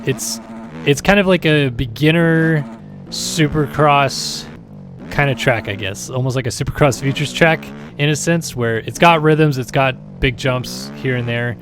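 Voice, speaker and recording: Male gaming host, mid-pitched, raspy and tired, loose rambling commentary over loud engine noise. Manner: rambling, filler-heavy, easygoing. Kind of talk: casual gameplay commentary.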